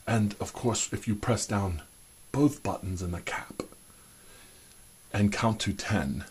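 Man playing unhurried, low-voiced spy gadget-man, put-on British accent. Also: a little mellow, slightly rough, heavily acted. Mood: matter-of-fact demonstrating. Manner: genteel, reminiscing, old-fashioned turns of phrase. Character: chummy old-guard American spy gadget-man, disguised as British. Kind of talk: improvised one-sided spy roleplay, addressed to the listener.

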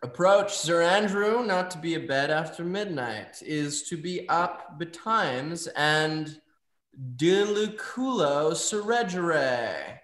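A pompous, merry old-world knight acted by a man declaims in a stage comedy. The voice is raised and fairly high, with slow, savoured phrasing. The room rings around it over a video call. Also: expressive, a bit bright, reverberant.

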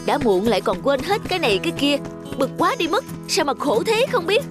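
Bà mẹ trẻ nóng vội. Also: female voice, high voice, very animated, a little fast, over music. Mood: exasperated. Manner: impatient, fretful. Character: young harried, strict, ambitious mother. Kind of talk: cartoon dubbing.

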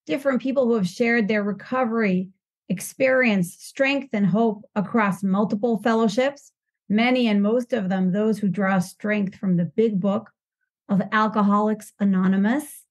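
A woman's voice: mid-range voice, unhurried. Upbeat woman hosting a podcast. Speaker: podcast host. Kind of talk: warm welcoming introduction over video call. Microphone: video call.

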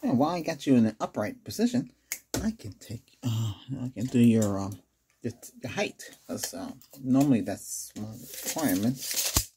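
A middle-aged man doing a casual ASMR doctor role-play, asking exam questions in a hesitant, unhurried way. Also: mid-range voice, expressive, mellow, a little hiss or hum. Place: small curtained room indoors.

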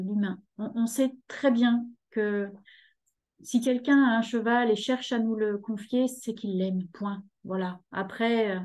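A woman's voice: mid-range voice, dark tone. A woman in her fifties talking at length on a video call from her living room, hesitating often. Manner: talkative, hesitant with many fillers. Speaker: guest, horse rescue association member. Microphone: laptop webcam on video call.

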